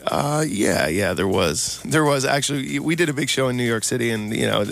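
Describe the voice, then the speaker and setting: A man's voice: medium pitch, animated, normal speed, gravelly, fairly bright tone. A man, a touring musician, talking casually in an interview in a laid-back, repetitive way.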